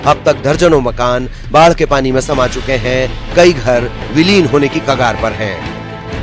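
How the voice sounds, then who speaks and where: Man reading news over clear music. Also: on the high side. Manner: formal reading. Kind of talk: scripted news narration.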